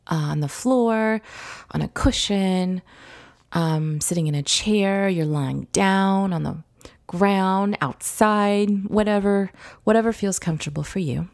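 A woman leading a guided visualization meditation in a gentle, soothing, instructive manner with long pauses. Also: medium pitch, creaky. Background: background music.

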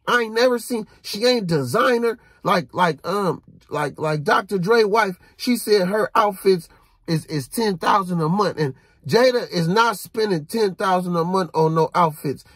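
A man in his thirties rants at his phone from inside a car, preachy and animated, circling back to the same phrases in street slang. His voice sits high for a man and has a husky edge. The sound is close and dry. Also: no echo. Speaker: vlogger. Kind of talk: casual rant to camera. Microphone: phone held low at arm's length.

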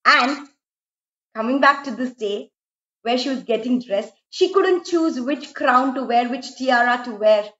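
Lady doing dramatic kids storytelling, echoey room, slightly muffled sound. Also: on the high side. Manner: dramatic, expressive, with emphatic repetition. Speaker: storyteller, host. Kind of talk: animated storytelling for children. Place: small room indoors at home. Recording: roomy, slightly dull home recording.

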